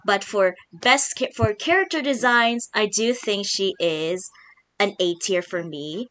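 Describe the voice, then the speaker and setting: A woman's voice: mid-range voice, unhurried, fairly bright tone, low-quality recording. A woman with a Filipino accent hosting a casual chat, chatty and laughing often. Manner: giggly, chatty. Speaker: host.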